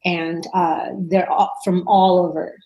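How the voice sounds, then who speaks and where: A woman's voice: fairly low pitch, little pitch movement, slow, dark tone, echoey room, rough recording. A woman in her forties from Indonesia, talking chattily as an interview guest, laughing often and restarting her sentences. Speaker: parent coach, guest. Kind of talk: casual interview answers.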